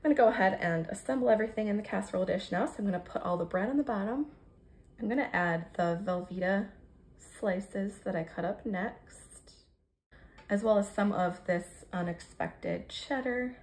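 An American woman in her thirties with a creaky voice, chattily narrating her cooking step by step in a casual, informal way. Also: mid-range voice, normal speed, darkish tone. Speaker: home cook vlogger. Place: home kitchen, indoors.

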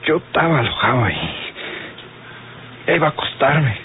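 A man's voice: medium pitch, very animated, normal speed, close to an everyday voice. An actor with a Mexican accent playing a badly wounded young gunman in an old radio serial, weak and laboring to speak. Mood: pained, weak. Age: young adult. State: weak, labored, breathy from injury. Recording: muffled, noisy old radio serial recording.

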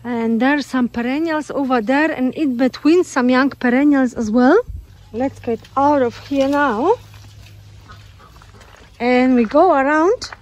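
A woman's voice: high voice, measured pace, a little mellow. A woman casually narrating a walking tour of her homestead outdoors, speaking unhurriedly with fillers and a turn of phrase. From Australia. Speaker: homesteader giving a farm tour. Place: outdoors in a rural garden with poultry. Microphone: phone camera held while walking.

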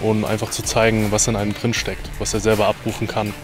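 A football coach talks haltingly, filling his pauses, in a somewhat low voice. Clear music sits behind him. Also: monotone. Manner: halting, many fillers. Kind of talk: casual interview answers.

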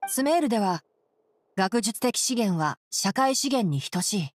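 A woman voicing a clever young male scholar in an anime game, measured and gently dry. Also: mid-range voice, animated, slight background noise, close to an everyday voice. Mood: calm, thoughtful. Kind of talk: Japanese anime game voice acting.

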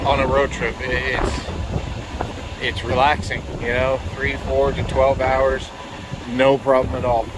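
A man's voice: mid-range voice, very noisy. A middle-aged man vlogging casually about a road trip, easygoing and rambling with fillers, filmed on a phone at arm's length in a car and outdoors. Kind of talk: casual vlog narration. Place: inside a car and outdoors at charging stations. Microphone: phone camera at arm's length.

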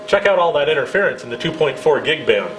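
A man's voice: on the high side, sing-song, fast talker, a bit bright, reverberant, a little hiss or hum, rough recording. A man in his fifties with an American accent gives a scripted product demonstration in a friendly, instructional way. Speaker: product presenter.

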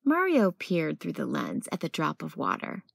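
A woman narrating a children's picture book as a read-aloud storyteller, with expressive delivery. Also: sits low, moderate pace, vocal fry, breathy edge, no echo, studio quality.

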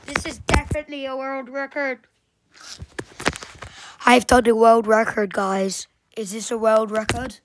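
A young woman with a heavy cold, sniffling as she talks. Her voice sits a little high and she speaks slowly, breaking into sudden excited gasps and squeals. The recording is noisy, with clicking taps behind her. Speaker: vlogger. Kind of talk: casual screen-recording vlog.

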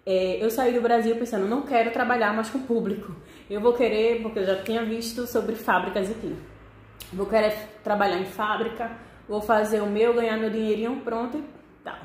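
A young woman speaks in a somewhat high voice with a Northeastern Brazilian accent. She chats casually and expressively, addressing her audience directly as a vlogger would. The sound is that of an indoor room with strong echo, picked up by a camera about an arm's length away. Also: a little hiss or hum. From Pernambuco, Northeast Brazil. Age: young adult. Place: small living room with desk, indoors. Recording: echoey room.

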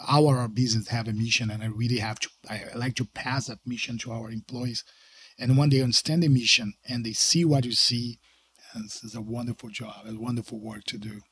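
Brazilian-accented podcast host, husky voice. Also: male voice, medium pitch, no echo. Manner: emphatic, non-native grammar, frequent "right?" tags. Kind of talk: interview host.

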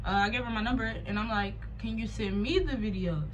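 A young American woman telling a personal story in a casual, slangy, animated way with sarcastic asides, recorded with noise around her. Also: medium pitch, a little fast, muffled recording.

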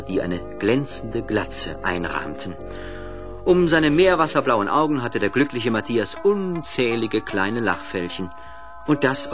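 Male narrator for a children's audio drama, mid-range voice, vivid and varied scripted storytelling. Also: mid-range voice. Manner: expressive storytelling.

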